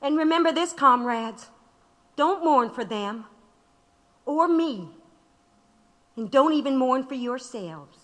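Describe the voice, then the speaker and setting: Casting: fiery woman rally orator, audio drama; slow, swooping delivery, echoing hall. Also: high-pitched, lively intonation, a bit bright, full character voice. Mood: impassioned. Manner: impassioned, emphatic. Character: fiery, determined woman labor agitator rallying her comrades. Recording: long hall reverb.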